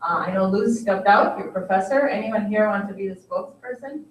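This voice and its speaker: A woman's voice: medium pitch, brisk. A woman hosting a forum, welcoming people with brief prompts. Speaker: event host. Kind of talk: moderating a forum. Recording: echoey hall, mic from a distance.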